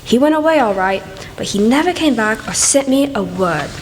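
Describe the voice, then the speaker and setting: A woman's voice: fairly high pitch, animated, moderate pace, natural voice. A woman acting in an amateur radio play as a forlorn, bitter young maid, with a plaintive, wounded manner. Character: young servant girl, forlorn deserted secret wife, bitter. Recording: echoey hall with faint noise.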